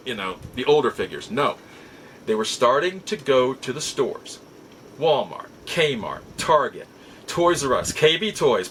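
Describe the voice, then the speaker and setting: A middle-aged man telling stories casually as a host, halting and often backtracking to repeat his phrases. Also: medium pitch, pushed and bright, a little hiss or hum, poor audio. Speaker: podcast host and collector. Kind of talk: casual rambling storytelling.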